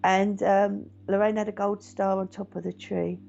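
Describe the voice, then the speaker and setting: Woman, middle-aged, gentle chatty meditation teacher, low gravelly voice, dull lo-fi audio. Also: little pitch movement, normal speed, a little hiss or hum. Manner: gentle, chatty, reassuring, with frequent fillers. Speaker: meditation teacher and psychic medium. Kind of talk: casual live-stream chat reading viewer comments.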